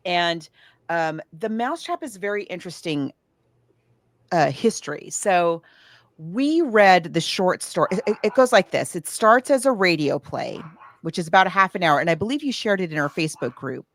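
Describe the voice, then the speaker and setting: A woman's voice: on the low side, expressive, normal speed, no echo. A woman co-hosting a podcast, chatting casually about books and films in a digressive, thinking-aloud way. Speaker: podcast co-host. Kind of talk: casual book and film discussion.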